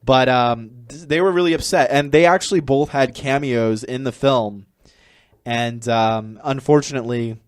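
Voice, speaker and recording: Male podcast co-host, mid-to-low register, halting and casual, very dry recording. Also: clear, resonant tone, no echo. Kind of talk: casual chat.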